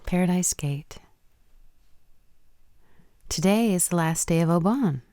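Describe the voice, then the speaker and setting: Young Japanese woman, radio play, proud, coy, teasing, slightly low voice, dry close sound. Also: takes it slowly, dark tone, dry recording. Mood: hushed, mysterious.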